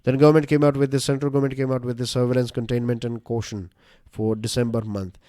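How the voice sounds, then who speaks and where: A man's voice: mid-range voice, flat delivery, quick pace, mellow, no echo. Chatty Indian man lecturing, dry sound. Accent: Gujarati-influenced Indian English. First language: Gujarati. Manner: casual and chatty, many fillers and rhetorical questions. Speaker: current affairs teacher. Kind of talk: conversational exam-prep lecture.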